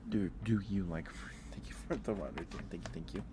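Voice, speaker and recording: A man hosting an ASMR livestream in a soft, whispery voice, hushed and unhurried, close to the listener. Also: low voice, heavy background noise. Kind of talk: ASMR, casual chat to live viewers.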